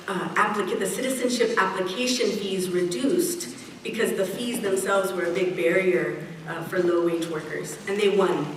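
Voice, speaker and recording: A middle-aged woman giving a prepared speech at a community event, measured and earnest, slowing down to stress key phrases. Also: medium pitch. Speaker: event speaker. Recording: echoey hall, picked up from a distance, faint hiss.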